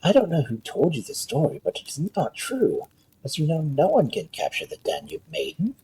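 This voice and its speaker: A man's voice: on the high side, huge pitch swings, normal speed, dark tone, breathy edge, pitched above the performer's own voice, heavily acted, low-quality recording. A man voicing an earnest, humble young lad in a storybook folk tale. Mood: pleading.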